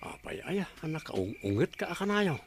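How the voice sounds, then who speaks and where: A man's voice: fairly high pitch, sing-song, moderate pace, creaky, slight background noise. A man with a Filipino accent acting an idle, proud husband who coaxes and whines in a radio drama. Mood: wheedling. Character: idle, proud degree-holder husband, wheedling and lazy.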